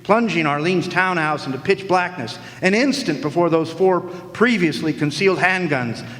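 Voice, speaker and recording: A man's voice: a little high, normal speed, fairly bright tone, natural voice. An actor in a live radio play playing a wisecracking hardboiled male private eye who narrates in a dry, sardonic way. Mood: wry. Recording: echoey hall with faint noise.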